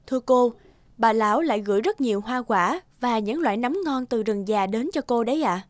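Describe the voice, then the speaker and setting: A woman's voice: fairly high pitch, expressive, fairly quick, no room sound, studio quality, natural voice. Respectful young maid played by a woman. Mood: deferential. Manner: deferential, hesitant. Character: timid, respectful young maidservant.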